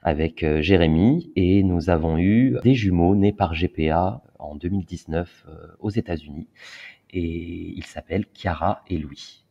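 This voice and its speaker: Very low voice, man in his thirties, halting speech, dull lo-fi recording. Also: mellow. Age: 30s. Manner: hesitant, many filler pauses. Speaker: guest. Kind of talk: personal interview talk. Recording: dull lo-fi sound.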